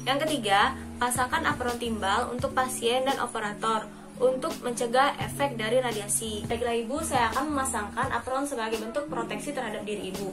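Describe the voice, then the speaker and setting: High-pitched young woman, instructional. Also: brisk. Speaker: student demonstrating dental radiography procedure. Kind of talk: instructional narration and scripted patient explanation. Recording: loud music behind the voice. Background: loud background music.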